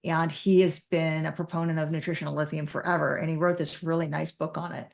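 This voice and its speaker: Female clinician guest, lowish pitch, vocal fry, direct, emphatic, self-correcting, conversational. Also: flat delivery, a little fast, a little mellow. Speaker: podcast guest, mental health clinician.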